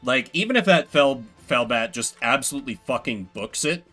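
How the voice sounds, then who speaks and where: A man streams a game with casual, playful commentary. His voice is bright and very animated in pitch, and his delivery is wry, with loose swearing. Faint music plays behind him. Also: normal speed.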